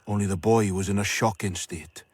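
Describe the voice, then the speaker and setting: An actor playing an eloquent storyteller, narrating a radio drama in a measured, florid way. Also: male voice, on the low side, steady tone, slightly rough.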